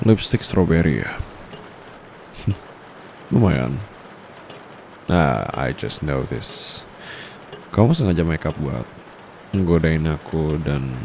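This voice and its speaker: A man voicing a young private tutor whose timid front hides a dominant streak, speaking softly and slowly right up against the mic. His voice sits extremely low with a gravelly fry. A steady hiss of noise and passing traffic runs underneath. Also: exaggerated voice. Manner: soft-spoken, teasing, slow and close. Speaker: voice actor. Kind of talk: ASMR roleplay. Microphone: close condenser mic.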